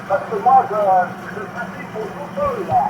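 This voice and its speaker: Guy on the cb, friendly and measured, loud hiss behind, kinda echoey. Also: very high voice, huge pitch swings. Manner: friendly, measured, ends with "hein" and "voilà". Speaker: CB radio operator. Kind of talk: casual radio chat. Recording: roomy, muffled radio transmission with loud noise.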